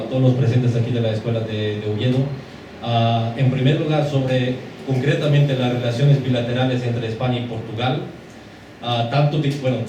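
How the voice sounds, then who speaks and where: A man who is not a native Spanish speaker, asking a long, hesitant question from the audience at a lecture. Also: medium pitch. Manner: hesitant, many fillers and restarts. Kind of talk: formal question from the floor. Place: lecture hall. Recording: echoey hall, distant mic, background noise.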